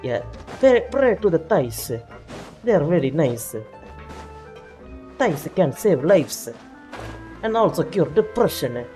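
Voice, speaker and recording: A woman's voice: sits low, very animated, slow pace, pauses often, dark tone, soft background music. A woman playing a vain male French magician with a put-on French accent while streaming a game in casual, pompous chat. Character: vain, prideful self-styled great French stage magician, male. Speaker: live streamer.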